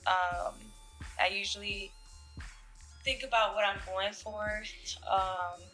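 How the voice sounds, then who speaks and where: A young woman from Jamaica, a fashion model, answering interview questions in a relaxed way with fillers and soft laughs. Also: mid-range voice, slow, over music, low-quality recording.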